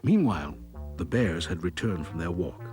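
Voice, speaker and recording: A man narrating scripted fairy tales for children in an expressive, dramatic way. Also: sits low, normal speed, soft background music. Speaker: storyteller, narrator.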